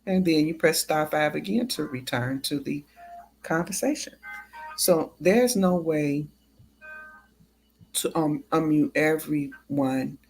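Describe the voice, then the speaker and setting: A livestream host talking casually and haltingly, with drawn-out pauses between phrases. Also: mid-range voice, vocal fry, a little mellow, husky, rough recording.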